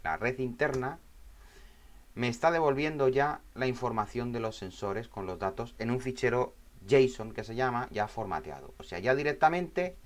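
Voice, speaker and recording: Male tutorial instructor, medium pitch, informal and explanatory, frequent check-ins with the learner. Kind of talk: informal spoken screen tutorial.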